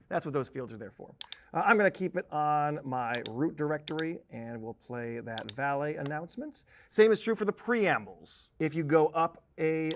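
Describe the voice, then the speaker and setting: A man giving an informal software tutorial in a conversational, emphatic way with some joking. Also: fairly high pitch, a little hiss or hum. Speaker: software trainer.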